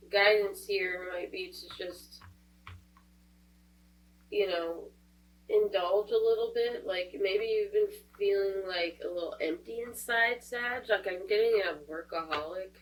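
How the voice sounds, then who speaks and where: A woman doing a casual tarot reading, stuffed up from a cold, chatty and thinking aloud as she goes. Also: mid-range voice. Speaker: tarot reader. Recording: voice a bit distant from the mic, faint hum.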